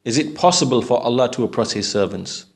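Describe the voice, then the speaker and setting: A man's voice: medium pitch, animated, a little fast. A man teaching in an explanatory religious lecture, speaking didactically and checking that listeners follow with questions.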